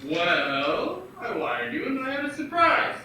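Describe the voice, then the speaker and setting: Casting: man playing hearty younger brother, slow unhurried lines, high voice, echoey and noisy. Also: huge pitch swings, sharp tone, full character voice. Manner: hearty then earnest. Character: young man Harry, cheerful returning brother. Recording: echoey room from far off with noise.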